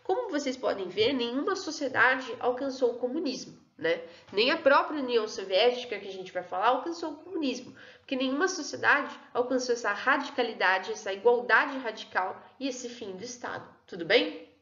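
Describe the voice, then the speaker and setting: A woman's voice: on the high side, animated, brisk, fairly bright tone. A woman teaching an online history class in a chatty way, often checking in with her students. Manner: chatty, keeps checking in with "tudo bem?" and "né, pessoal?". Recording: echoey room, dull lo-fi sound.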